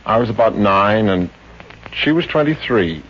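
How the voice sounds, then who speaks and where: A neurotic young doctor in a 1950s radio comedy. His lowish voice is plaintive, hesitant and full of earnest self-pity. The aged recording sounds muffled, with light hiss. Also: lively intonation, moderate pace, a bit bright. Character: sensitive, neurotic, self-pitying young psychiatrist.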